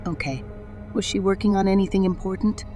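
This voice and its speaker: A woman's voice: mid-range voice, brisk, close to an everyday voice. A woman playing a determined, persistent female reporter in a sci-fi audio drama. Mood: probing, polite. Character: determined, fearless young female reporter on Mars. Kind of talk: audio drama acting and narration. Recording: voice over loud music.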